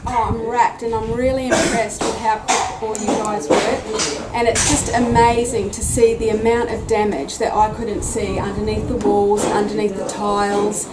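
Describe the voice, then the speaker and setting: A woman's voice: mid-range voice, flat delivery, normal speed, hardly any pauses, recorded from a distance, loud music behind the voice. A woman in her forties with an Australian accent, a homeowner talking earnestly about her renovation. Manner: earnest, effusive. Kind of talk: casual chat. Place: house under renovation, indoors. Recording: echoey room, off-mic, loud noise.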